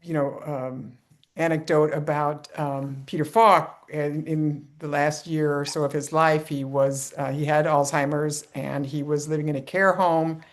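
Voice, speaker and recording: A woman in a film club telling an anecdote casually and haltingly. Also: low-pitched, steady tone.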